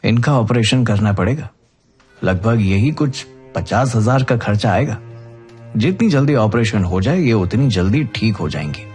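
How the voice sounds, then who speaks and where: A businesslike doctor from a cartoon moral tale, put on by a male narrator. The male voice is a little low, matter-of-fact and firm. Music plays clearly underneath. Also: animated, normal speed, darkish tone. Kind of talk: cartoon moral-story dialogue.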